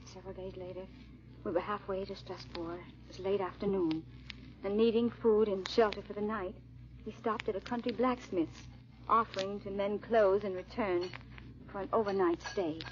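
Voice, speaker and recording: A woman's voice: medium pitch, fairly even intonation, normal speed, close to an everyday voice. An actress playing a frightened young noblewoman on the run, who also narrates her tale, in melodramatic, formal 1940s radio drama style. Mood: grave narrating. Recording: muffled vintage radio recording.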